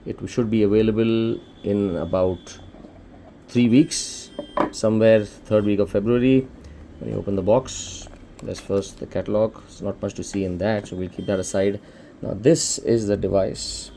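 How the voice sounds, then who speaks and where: A man's voice: lowish voice, fairly even intonation, moderate pace, mellow, slight background noise. A man with an Indian accent casually presenting an unboxing for a shop, explaining things informally step by step. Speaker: shop presenter demonstrating a product.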